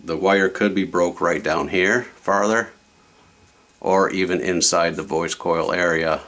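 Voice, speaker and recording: A man's voice: sits low, fairly even intonation, a little slow, a bit bright. A man hosting a casual repair video, talking through the job step by step in a plain-spoken way. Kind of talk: casual hands-on repair walkthrough.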